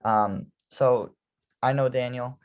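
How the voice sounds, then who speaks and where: A man's voice: mid-range voice, fairly even intonation, slow pace, clear, resonant tone. A young man interviewing a classmate, friendly and hesitant, with plenty of ums and likes. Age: young adult. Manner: friendly, hesitant, many fillers. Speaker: interviewer, graduate assistant. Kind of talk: casual interview.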